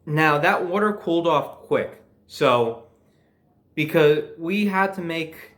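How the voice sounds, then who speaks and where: Young guy, voice a bit on the high side, casual storytime talk, animated and sweary. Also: slow pace. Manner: animated, sweary, acts out quoted dialogue.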